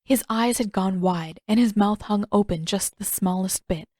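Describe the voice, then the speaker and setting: A woman's voice: medium pitch, normal speed, mellow, no room sound, clean studio sound. A woman reading scripted fiction aloud as an audiobook narrator.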